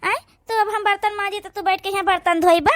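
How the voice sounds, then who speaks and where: A woman's voice: very high-pitched, steady tone, fast talker, dry recording, studio quality. A woman voicing a sad overworked young sister. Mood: reassuring. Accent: Awadhi. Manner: plaintive, complaining softly. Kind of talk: cartoon voice acting.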